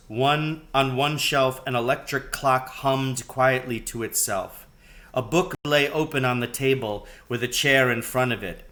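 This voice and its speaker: A man reading a children's novel aloud in a storybook narration style, with measured, deliberate phrasing. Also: mid-range voice, fairly bright tone. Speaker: reader, teacher.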